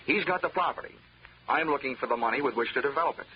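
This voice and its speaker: An American actor playing a wry, hard-boiled investigator in a 1940s radio drama, full of dry wisecracks. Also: male voice, medium pitch, quick pace, slight background noise, natural voice. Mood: smooth pitch. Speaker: detective narrator and lead character. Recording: dull 1940s radio recording with music.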